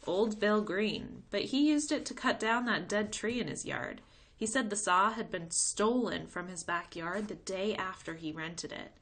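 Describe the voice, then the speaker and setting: Casting: relaxed cop dad for a storybook, plainly explaining things to his child, read by a woman. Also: mid-range voice, measured pace, pitched above the performer's own voice. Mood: matter-of-fact. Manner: casual, explanatory. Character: easygoing, matter-of-fact father, a policeman.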